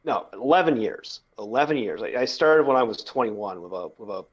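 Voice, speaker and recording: A man in his thirties hosting a casual podcast chat, telling a long story in a halting, rambling way. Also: on the low side, lively intonation, slightly rough, lo-fi sound. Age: 30s. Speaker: podcast host and publisher.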